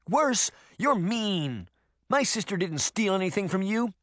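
A man plays a feisty little storybook girl talking tough. The childish, scolding voice sits high and bounces around with protective spirit, in a dry recording. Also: big pitch swings, measured pace, fairly bright tone, no echo, heavily acted.

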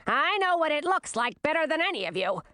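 Woman doing a snappish, high-voiced queen mother. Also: very animated, fairly quick, bright, forceful tone, dry recording, clean studio sound, exaggerated voice. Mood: crowing, scornful. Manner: snappish and blunt. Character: sharp-tongued, crowing, blunt queen mother.

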